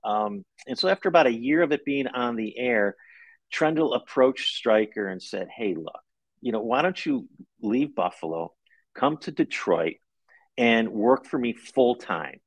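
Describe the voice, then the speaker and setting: A middle-aged man speaks as an interviewed author, laying out a story step by step. His delivery is measured and conversational, with some halting moments. He is on a video call from home, with very little room echo. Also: mid-range voice, animated.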